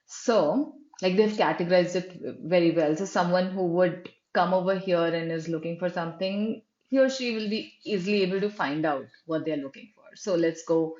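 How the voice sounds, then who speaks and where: A woman's voice: lowish voice, normal speed, hardly any pauses, darkish tone. Woman reviewing websites, roomy lo-fi sound. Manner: conversational, frequent "right?" and fillers, restarts. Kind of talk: screen-share walkthrough commentary.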